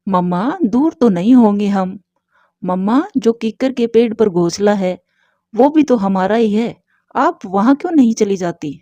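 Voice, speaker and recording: A woman's voice: medium pitch, animated, measured pace, warm tone, no room sound, lightly acted. A woman voicing a mild young male bird, a yielding son and husband in a cartoon, in a placating manner.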